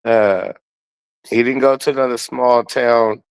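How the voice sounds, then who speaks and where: A man speaks in a clear, resonant voice at a middle pitch. He gives casual sports commentary as a guest, full of slang and profanity, circling back on words as he builds his point. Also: fairly even intonation, unhurried, rough recording. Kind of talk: casual sports talk with opinions and heavy slang.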